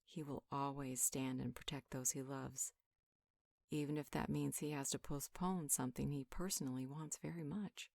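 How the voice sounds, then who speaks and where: A woman performs a calm female healer for a fantasy roleplay audio. Her voice sits low and is recorded dry and close to silent of any room. She counsels in a measured, conversational way, reasoning things through and reassuring as she goes. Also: vocal fry, no echo, exaggerated voice. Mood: earnest, reassuring. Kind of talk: conversational roleplay monologue, one side of a dialogue.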